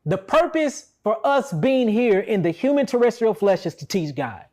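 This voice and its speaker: A high-voiced man with an American accent speaks as if on a podcast. He explains spiritual ideas with emphasis, conversationally, often checking that the listener is following. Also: big pitch swings, moderate pace, darkish tone. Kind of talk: impassioned spiritual talk, conversational.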